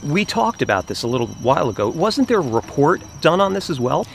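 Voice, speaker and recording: A man's voice: a little high, sing-song, brisk, gravelly. A man hosting a casual on-location interview. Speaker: host, interviewer. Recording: faint outdoor noise with insects.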